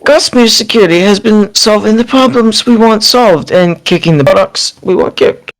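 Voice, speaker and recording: A man's voice: high-pitched, moderate pace, poor audio. A man voicing a dismissive, self-satisfied baron in a party game, blustering and smug.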